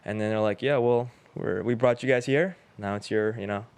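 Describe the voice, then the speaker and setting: Smooth-voiced young man from Uzbekistan. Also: lowish voice, moderate pace. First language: Russian. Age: 20s. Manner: relaxed, rambling, many fillers and restarts. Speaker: podcast guest, realtor. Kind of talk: casual interview chat.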